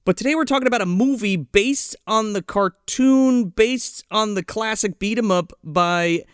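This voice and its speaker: A man's voice: sits high, moderate pace, clean tone, no echo, clean studio sound. A man co-hosting a podcast, chatting casually and swearing freely. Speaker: podcast co-host.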